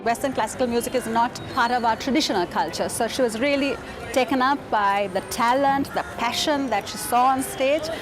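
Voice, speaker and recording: A woman calmly recounting events in a documentary interview. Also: fairly high pitch, fairly quick, over music.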